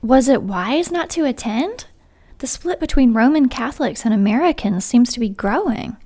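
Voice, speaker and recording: A courteous, efficient female assistant in an audio drama. Her voice is a little high and moves expressively. She sounds inquisitive and phrases her questions politely. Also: lively intonation, normal speed, dark tone. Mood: curious, concerned.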